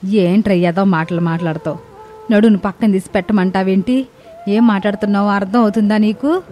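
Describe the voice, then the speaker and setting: A woman voicing a cranky, melodramatic old mother-in-law in an animated story, complaining theatrically. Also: medium pitch, brisk, natural voice. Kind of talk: animated moral story acting.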